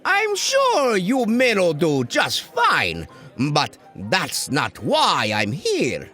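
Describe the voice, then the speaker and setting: An actor playing a calm, patient small-town cartoon sheriff, measured, folksy and diplomatic. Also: male voice, high-pitched, faint music behind, full character voice. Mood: calm, firm.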